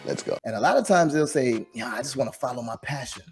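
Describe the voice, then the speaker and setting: A man from Jamaica hosting a business podcast, talking in a lively, encouraging way and often restarting his sentences. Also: medium pitch, quick pace, music in the background. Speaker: podcast host. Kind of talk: casual interview.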